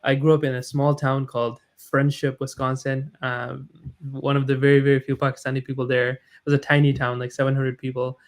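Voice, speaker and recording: A man in his thirties, raised in the United States, talks through his story as a live stream guest. He speaks modestly and thoughtfully, with plenty of fillers and small restarts. His pitch sits in an ordinary middle range. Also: little pitch movement, brisk. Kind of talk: conversational interview.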